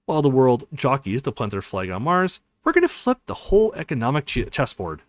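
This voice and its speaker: Relaxed, friendly tech lead, read by a man. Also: medium pitch, a little fast, no echo, close to an everyday voice. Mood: earnest pitch. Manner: easygoing, confident. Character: friendly, relaxed male government tech lead pitching a mission.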